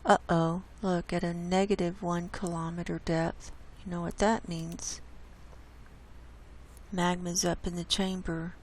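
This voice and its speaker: A hoarse woman hosting her own channel, walking casually through earthquake maps on screen and pointing out each spot with an unhurried, gravelly delivery. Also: lowish voice, level tone, a little hiss or hum. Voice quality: gravelly, coughs and clears throat. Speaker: online science channel host. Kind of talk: casual screen-share data report. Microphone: desk mic.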